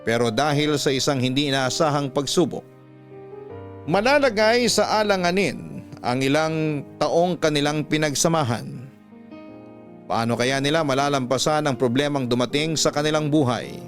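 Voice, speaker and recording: A man with a Filipino accent narrating scripted, emotional stories in a classic radio style, measured and heartfelt with dramatic pauses. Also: a little high. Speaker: radio storyteller and host. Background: soft background music.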